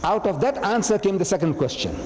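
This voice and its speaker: A man lecturing to an audience, telling an animated story with acted quotes. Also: sits high, fast. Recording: long hall reverb, faint noise.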